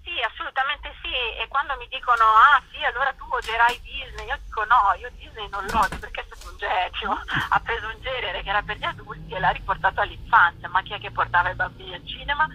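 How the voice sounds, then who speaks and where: A woman's voice: on the high side, fast talker, pushed and bright, slight background noise, poor audio. A woman explaining things thoughtfully and emphatically in an interview, as a festival director.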